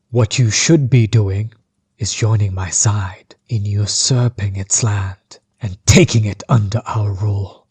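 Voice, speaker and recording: A menacing sorcerer overlord, acted by a man, speaks in a low, dark and very husky voice. He is measured and coldly taunting, a bitter villain in an audio roleplay whose words often sink toward a breathy whisper. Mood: commanding, insistent.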